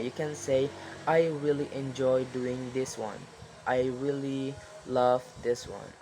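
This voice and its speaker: Slow, halting young man explaining. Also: medium pitch, darkish tone, slight background noise. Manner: halting, with frequent restarts and non-native grammar. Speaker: student giving an exam presentation. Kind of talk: spoken lesson presentation.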